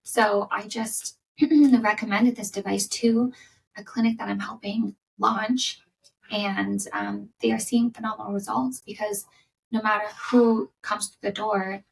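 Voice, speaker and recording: A woman hosting a solo business podcast, giving conversational coaching advice with a slightly raspy voice from coming down sick. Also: mid-range voice, fairly even intonation, a little slow, far from the mic, a little hiss or hum, poor audio. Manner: conversational, repeats words and restarts, upbeat coaching.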